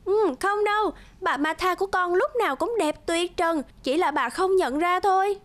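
An actress playing a sweet, earnest young bride-to-be in a cartoon, youthful and expressive. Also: female voice, very high voice, moderate pace. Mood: cheerful, affectionate. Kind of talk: cartoon voice acting. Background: music.

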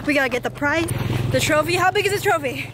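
A young woman with a high, bright voice chatters and giggles through a casual travel vlog. She holds the camera at arm's length on an open mountain trail. Strong wind and outdoor noise run under her voice. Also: fast talker. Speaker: vlogger. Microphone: handheld camera at arm's length.

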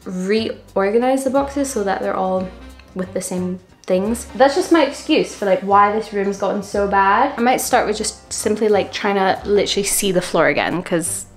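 A woman's voice: medium pitch, normal speed, soft background music. A woman, chatting casually to a handheld camera in her apartment, self-deprecating and full of "like" fillers. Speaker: lifestyle vlogger. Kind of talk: casual vlog chat to camera. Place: small apartment room, indoors. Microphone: handheld camera at arm's length.

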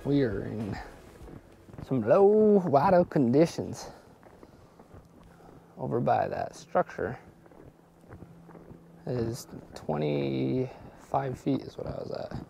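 A man's voice: medium pitch, expressive, slow, gravelly, long pauses, dark tone, slight background noise. A young man vlogging casually outdoors on a frozen lake, chatting upbeat to viewers about his fishing gear, recorded on an action camera. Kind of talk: casual outdoor vlog chat.

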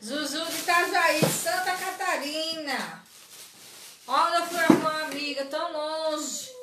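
A woman's voice: sits high, fairly even intonation, measured pace, echoey room, very noisy. A woman in her forties hosting a live sewing stream from her craft studio, chatting and greeting viewers by name. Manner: chatty, greets viewers by name, frequent "certo?" tag questions. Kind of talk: live sewing tutorial chat. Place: small craft studio with shelves of dolls, indoors.

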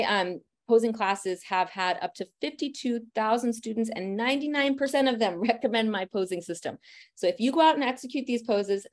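A woman in her thirties, a family photographer, giving a lecture on posing in a lively, direct and encouraging way. She talks a lot and has a loud voice. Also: a little high. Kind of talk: presentation lecture.

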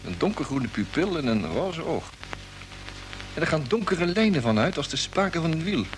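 A man's voice: a little high, sing-song, normal speed, a little hiss or hum, low-quality recording. Plain-spoken, curious space crewman. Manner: colloquial, stammers when flustered. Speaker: radio drama actor. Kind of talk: vintage Dutch radio serial acting. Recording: old radio play with music underneath.

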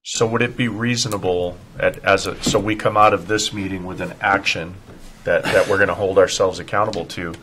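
A man's voice: low-pitched, steady tone, a little fast, fairly bright tone, slight background noise, low-quality recording. A man discussing matters informally as a member of a public board. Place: indoor municipal meeting room.

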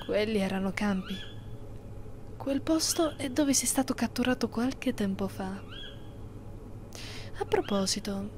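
A woman playing a haughty, possessive immortal vampire mistress in an ASMR roleplay, speaking slowly and imperiously, often close to a whisper. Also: mid-range voice, noisy background, close to an everyday voice. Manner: slow, imperious, condescending, often near whisper.

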